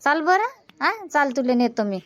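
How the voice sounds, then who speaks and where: A woman's voice: high-pitched, animated, moderate pace, a bit bright, no echo. A woman voicing a homely older village mother-in-law in a cartoon comedy, chatty and instructing, with a Varhadi Marathi accent. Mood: coaxing. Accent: Varhadi Marathi from Vidarbha. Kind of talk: amateur cartoon comedy dubbing in village dialect.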